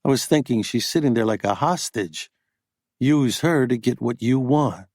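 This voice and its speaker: A man voicing a cool, level-headed male hustler who speaks in a measured, dry way. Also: mid-range voice, darkish tone, no echo, close to an everyday voice. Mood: curious.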